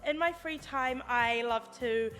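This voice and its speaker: A woman's voice: a little high, unhurried, fairly bright tone, in a large hall, faint music behind. A young New Zealand woman, a student, presenting to an audience in an upbeat, encouraging way. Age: young adult.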